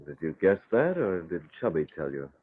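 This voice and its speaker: A man acting a brisk, commanding masked vigilante who runs a newspaper, in vintage radio-drama style. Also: sits low, big pitch swings, husky, full character voice. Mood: stern. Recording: muffled phone-like old radio recording.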